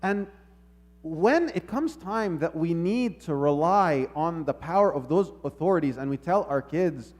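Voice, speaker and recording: A man giving a sermon in a measured, reflective manner, posing rhetorical questions and repeating phrases as he teaches. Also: on the high side, lively intonation, darkish tone. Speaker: preacher.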